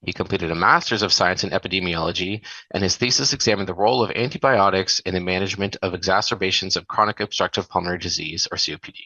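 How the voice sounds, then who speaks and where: A man's voice: low-pitched, fast, sharp tone, dry recording, muffled recording. A man moderating a medical webinar, introducing speakers and running polls with light joking asides.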